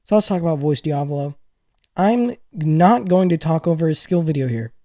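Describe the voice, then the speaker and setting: Excitable young-sounding man, higher-pitched mellow voice. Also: normal speed, dry recording, clean studio sound. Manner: gushing, excitable, effusive. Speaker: gaming news video host. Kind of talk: casual gaming commentary to viewers.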